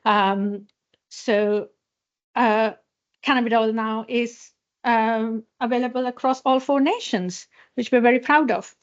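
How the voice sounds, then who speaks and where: A woman from a patient charity giving a conference talk in a hospital lecture hall. She pauses often, with many fillers and restarts. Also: a little high, fairly even intonation. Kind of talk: conference presentation. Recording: dull lo-fi sound.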